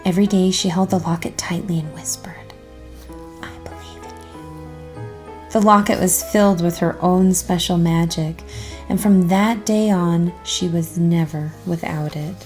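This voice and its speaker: A woman narrating a children's storybook aloud in an expressive, careful storytelling manner. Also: on the low side, normal speed, faint music behind.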